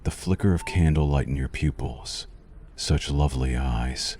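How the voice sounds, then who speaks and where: A man playing a sinister, possessive vampire doctor in an ASMR roleplay, silkily courteous and softly menacing, with slow, deliberate delivery. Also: a bass voice. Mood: clinical, predatory. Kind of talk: ASMR roleplay addressed to the listener.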